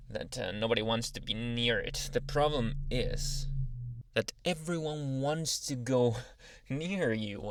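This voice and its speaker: A man voicing a dominant but awkwardly polite alpha male werewolf in an intimate, halting ASMR roleplay, with sighs and murmured asides. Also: mid-range voice, close to an everyday voice. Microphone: close, dry microphone.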